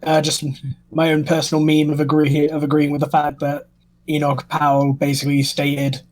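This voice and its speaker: A man's voice: fairly high pitch, monotone, moderate pace, low-quality recording. A man, talking casually as part of a discussion panel.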